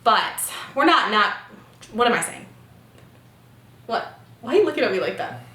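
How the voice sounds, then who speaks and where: A woman in her twenties from California, hosting a casual Q&A chat, rambling and quick to laugh. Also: on the high side, sing-song, bright, forceful tone. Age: 20s. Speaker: vlogger host. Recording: roomy echo, faint noise.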